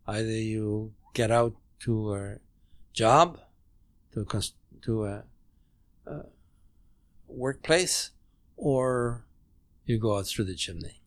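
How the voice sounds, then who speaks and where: A man in his nineties who grew up speaking German, recounting memories in a halting, measured way with many restarts, at home. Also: fairly low pitch, no room sound. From Poland. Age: 90s. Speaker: interview guest. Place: home dining room.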